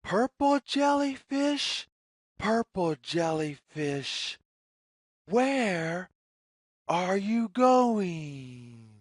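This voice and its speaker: A woman reads a picture story aloud for young children. She goes very slowly, spacing out her phrases, with a lively singsong melody that rises and falls widely. The sound is dry, with no room echo. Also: mid-range voice. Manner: deliberate, singsong, repetitive phrasing.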